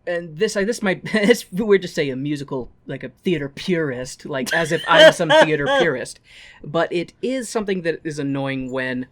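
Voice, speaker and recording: A man's voice: fairly high pitch, sing-song, moderate pace, hardly any pauses, fairly bright tone. A man co-hosting a podcast in casual comedic chat, with a jokey, self-deprecating manner that wanders into tangents. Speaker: podcast co-host.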